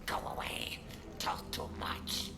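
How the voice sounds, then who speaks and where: An actor playing a hostile, distrustful alien thug with a gravelly snarl, speaking in clipped broken sentences. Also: male voice, slow, lightly acted. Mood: hostile, wary. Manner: clipped, ungrammatical short sentences. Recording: roomy sound under loud music.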